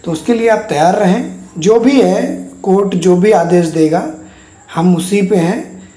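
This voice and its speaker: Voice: medium pitch, unhurried, dark tone. A speaker gives an informal update to exam candidates as a channel host, in a repetitive, reassuring way. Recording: echoey untreated room.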